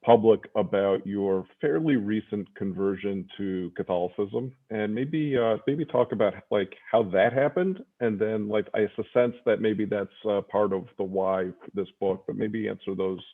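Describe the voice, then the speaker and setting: A man's voice: on the low side, moderate pace. Rambling male host on a tinny line. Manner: rambling, self-correcting, many fillers. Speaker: radio show host. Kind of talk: casual interview.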